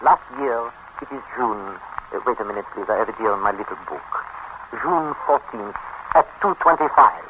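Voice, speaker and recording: Actor as fussy French hotel manager, noisy old radio. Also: male voice, fairly low pitch, expressive, moderate pace, natural voice. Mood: confiding, exasperated. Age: middle-aged. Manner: theatrical, confiding, flustered, broken English. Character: fussy, excitable, confiding French male hotel manager, middle-aged. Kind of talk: 1930s radio comedy acting.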